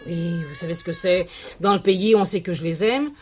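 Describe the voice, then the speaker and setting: An actress playing a talkative, kindly village shopkeeper who adores cats and gossips in a confiding way in a television play. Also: female voice, fairly low pitch, moderate pace, a little mellow, a little hiss or hum, close to an everyday voice. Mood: chatty, friendly. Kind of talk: 1970s French television play dialogue.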